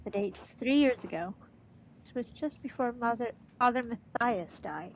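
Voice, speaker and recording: A woman's voice: on the high side, moderate pace, slight background noise, low-quality recording. A woman streaming a game with a stuffy nose from allergies, chatting casually and reading the game's lines aloud. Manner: excitable, reads game text aloud. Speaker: let's play streamer.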